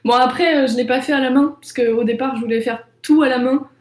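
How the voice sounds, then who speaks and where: A woman's voice: high voice, fairly even intonation, fairly quick, darkish tone, roomy sound. A young French woman, an illustrator, chatting casually in an interview with many fillers and restarts. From France. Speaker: illustrator and author.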